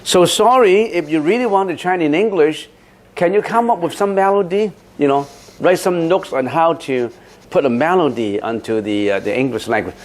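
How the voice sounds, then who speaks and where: Chatty monk with frequent restarts. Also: male voice, fairly high pitch, moderate pace. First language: Chinese. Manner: chatty, repeats words and restarts, laughs often. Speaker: Buddhist monk answering questions. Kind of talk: Dharma question-and-answer talk.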